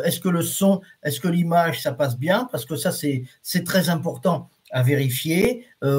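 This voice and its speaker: A man's voice: fairly high pitch, darkish tone. A man teaching reflexology on a live stream, talking casually to his viewers with many fillers and restarts and reading out their comments. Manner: reads viewer comments aloud.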